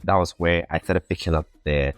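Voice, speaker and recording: A man's voice: low-pitched, fairly even intonation, fairly quick, no room sound. A young man from Ghana, a podcast guest telling his life story in an earnest, reflective way and often repeating words while he finds his phrasing. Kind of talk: casual interview storytelling.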